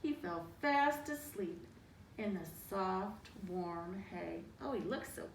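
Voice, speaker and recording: A woman's voice: mid-range voice, lively intonation, takes it slowly, vocal fry. A woman reading a children's picture book aloud, with dramatic emphasis and little asides to young listeners. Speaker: storybook reader. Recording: roomy, distant mic, faint noise.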